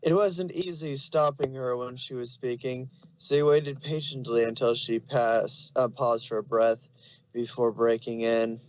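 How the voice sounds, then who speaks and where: A man's voice: mid-range voice, steady tone, measured pace, rough recording. A man narrating fan fiction in an amateur dramatic reading style.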